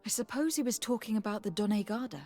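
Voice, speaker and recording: An actress playing a composed, dutiful young noblewoman diplomat in a video game, speaking in a measured, earnest, formal manner. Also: mid-range voice, warm tone, soft background music, close to an everyday voice.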